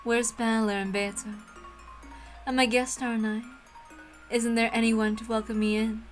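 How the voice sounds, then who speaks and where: Ancient witch in a girl's body, performed by a woman: dry, taunting, theatrical, higher-pitched, faint music underneath. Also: level tone, normal speed, natural voice. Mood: haughty, airy. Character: coolly menacing thousand-year-old witch in girl's form. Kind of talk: amateur dramatic reading of a visual novel.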